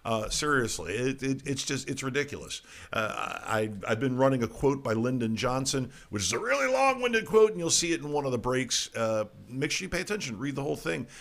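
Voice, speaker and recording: A man's voice: mid-range voice, lively intonation, brisk, few pauses, fairly bright tone. A middle-aged man hosting a casual talk show, ranting irreverently about the news with frequent stumbles and restarts. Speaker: podcast host. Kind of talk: casual political comedy talk.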